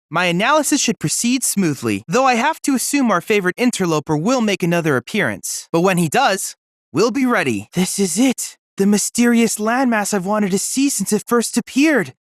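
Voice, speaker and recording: Eager, lively android boy. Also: high voice, no echo, studio quality. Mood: earnest, purposeful, then excited, curious. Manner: formal, precise, earnest. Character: polite, eager, bookish android boy research assistant. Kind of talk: scripted video game dialogue.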